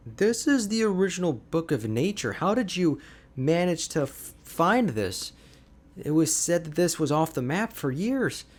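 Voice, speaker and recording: A man playing an energetic, friendly young ice mage mentor in an ASMR roleplay, talking to the listener in an animated, informal, chatty way. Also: a little high. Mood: amazed. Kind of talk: ASMR roleplay audio drama, addressing the listener.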